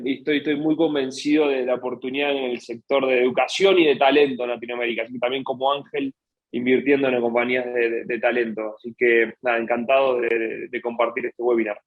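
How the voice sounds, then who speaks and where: A man's voice: mid-range voice, phone-quality sound. An Argentine man with an Argentine accent, introducing himself as a webinar panelist over a video call, hesitant, with many fillers and repeated words.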